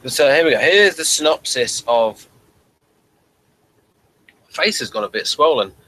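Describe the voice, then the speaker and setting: A man's voice: medium pitch, animated, measured pace, pushed and bright, poor audio. A man hosting a casual TV review show, talking and reading out material. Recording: faint background noise.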